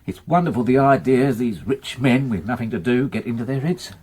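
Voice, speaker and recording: An actor playing a wry, meticulous English manservant in a radio drama, speaking formally and precisely with dry, long-suffering patience. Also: male voice, medium pitch, fairly quick, a little mellow, slightly rough, natural voice. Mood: wryly long-suffering. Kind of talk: British radio drama acting.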